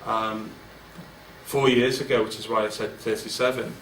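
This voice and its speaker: A man's voice: fairly low pitch, little pitch movement, normal speed, fairly bright tone, a little hiss or hum. A man in his forties speaking candidly and informally to an audience at a business event, with many fillers and restarts. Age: 40s. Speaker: guest speaker. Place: large event room with an audience. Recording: roomy, distant mic with faint ambience.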